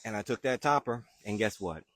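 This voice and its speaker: A man's voice: on the low side, expressive, brisk, fairly bright tone, no room sound. A man with a Southern accent, hosting a live gardening chat outdoors in his backyard and answering viewer questions in an easygoing, chatty way. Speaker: gardening show host.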